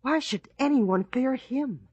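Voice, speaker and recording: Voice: high-pitched, slow, darkish tone, dry recording, dull audio. A performer playing a curious, respectful young boy in a radio drama, eager and questioning. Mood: anxious curiosity.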